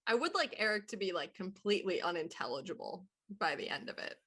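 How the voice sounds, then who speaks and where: A woman's voice: medium pitch, expressive, fast talker, a bit bright, breathy edge. A woman who is a voice actor, bantering casually in a group chat.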